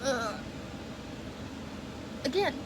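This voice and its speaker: A woman's voice: high-pitched, expressive, drawn-out words. A young woman vlogging casually at home, chatty and rambling, with a stuffed-up nose. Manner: chatty, rambling, self-correcting. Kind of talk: casual vlog chat. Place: bedroom at home with AC and fan running. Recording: loud fan and AC noise behind.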